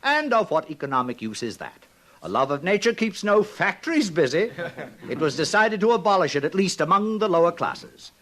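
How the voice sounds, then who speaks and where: Casting: imperious institute director, high-pitched, swooping, pompous and smugly cold, 1950s radio drama lecturer. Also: male voice, big pitch swings, fairly quick, a bit bright. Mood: smug, lecturing. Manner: pompous, declamatory, instructive. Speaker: actor.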